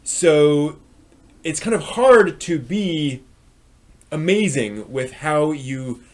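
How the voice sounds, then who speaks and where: A man hosting a live stream review, talking unscripted in a halting way, often repeating and rephrasing himself. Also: on the high side, animated. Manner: frequent throat clearing.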